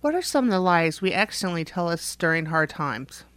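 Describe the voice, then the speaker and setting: A woman's voice: lowish voice, big pitch swings, a little fast, a bit bright, no room sound. A woman hosting a radio interview, reading out prepared questions.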